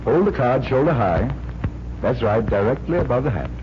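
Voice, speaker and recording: A man's voice: mid-range voice, big pitch swings, moderate pace, close to an everyday voice. An American man playing an assured, suave magician detective who explains patiently, in the style of a 1940s radio drama. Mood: assured, instructive. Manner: assured, instructive. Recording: muffled, noisy 1940s radio recording.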